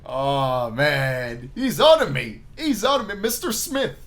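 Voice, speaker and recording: A man's voice: on the high side, big pitch swings, slow, fairly bright tone. A man reading visual novel text aloud as a let's-play host, with casual asides mixed in.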